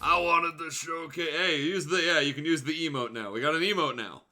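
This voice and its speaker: A man's voice: fairly high pitch, a little fast, pushed and bright. A man streaming games as show host, chatting casually with wry, sweary remarks. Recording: music playing faintly behind the voice.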